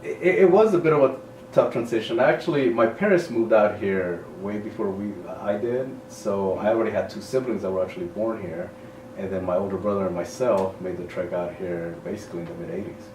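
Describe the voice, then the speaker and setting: Man in his 40s from El Salvador, principal, lowish voice, measured and thoughtful, recorded at a distance in an echoey room. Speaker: high school principal. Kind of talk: casual interview. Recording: echoey room, mic at a distance, faint noise.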